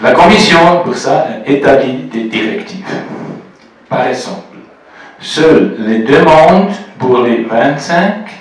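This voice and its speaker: A man with a Swiss German accent, French not his first language, giving a measured, prepared speech at a formal ceremony as a postal official. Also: fairly high pitch. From German-speaking Switzerland. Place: large echoey reception room. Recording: echoey room, speaker far from the mic.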